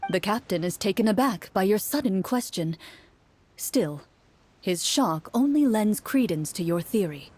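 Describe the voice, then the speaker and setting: A woman's voice: medium pitch, lively intonation, measured pace, darkish tone, a little hiss or hum, lightly acted. A woman playing a game master, narrating a fantasy adventure in a scripted video game with dramatic, articulate storytelling. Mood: grave.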